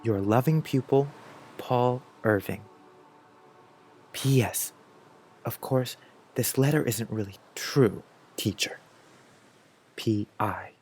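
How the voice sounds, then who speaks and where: A man's voice: medium pitch, slow, long pauses, a little mellow, slight background noise, lightly acted. A man voicing an imaginative, earnest schoolboy reading his letter aloud in an audiobook drama. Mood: wonderstruck. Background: music.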